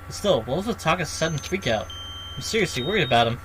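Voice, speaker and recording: A man's voice: fairly high pitch, expressive, fast talker, background noise. A man streaming a video game, reading its narration aloud and commenting casually. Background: music.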